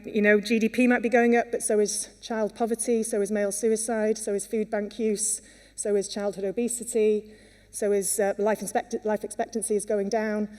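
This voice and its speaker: Welsh woman speaking in an echoing hall. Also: mid-range voice. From Wales. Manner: earnest, many fillers and restarts. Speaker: conference speaker. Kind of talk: conference talk. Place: conference hall. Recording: long hall reverb.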